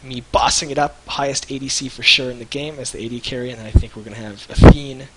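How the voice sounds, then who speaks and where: A man's voice: medium pitch, fairly even intonation, fast talker, heavy background noise. A man co-hosting a live stream in casual, jokey chat, rambling and searching for words. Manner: rambling, loose, jokey, hesitant.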